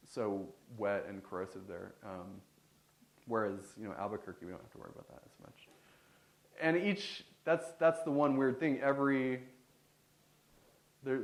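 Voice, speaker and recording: A man, an artist, answering audience questions in a casual, halting way, with many fillers and restarts. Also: fairly low pitch. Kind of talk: live Q&A at a talk. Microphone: handheld microphone. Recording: echoey room on a hand mic.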